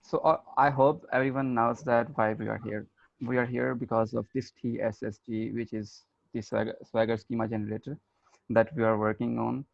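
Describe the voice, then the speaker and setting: A man's voice: mid-range voice, level tone, moderate pace, vocal fry, dry recording. A man from Pakistan hosting a casual video call meeting, talking hesitantly with many fillers and restarts. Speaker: meeting host, senior engineer. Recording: muffled lo-fi video call audio.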